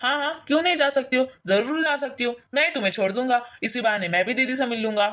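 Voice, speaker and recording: Woman doing a soft-spoken young husband, voice pushed way down, talks quick. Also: high-pitched, voice pushed down. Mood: agreeable. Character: worried gentle young husband.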